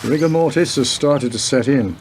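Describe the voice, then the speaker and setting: A man's voice: mid-range voice, normal speed, lightly acted. A world-weary male police chief inspector played by an actor in a radio drama. He speaks in a mid-range voice with dry, probing, clipped questions. There is noticeable ambient noise behind him. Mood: dry, pensive. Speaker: actor in a community radio play.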